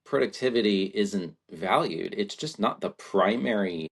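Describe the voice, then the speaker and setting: A very low-voiced man in his forties speaks with a gravelly fry. He is a guest from Latvia who muses aloud, circling back on his thoughts. He often checks in with a quick question as he talks. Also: lively intonation. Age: 40s. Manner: thoughtful, rambling, frequent tag questions. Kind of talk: reflective conversation.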